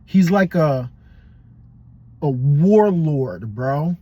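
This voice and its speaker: A man's voice: fairly high pitch, expressive, extremely slow, warm tone, highs cut off. A young adult man casually giving blunt, profane, rambling fight predictions as a podcast host.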